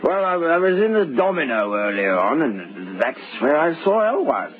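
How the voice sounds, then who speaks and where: A tipsy, insinuating drinking pal, his voice swinging up and down. He gossips haltingly on a thin old radio drama recording. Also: on the high side, very animated, narrow, tinny audio. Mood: tipsy, sly.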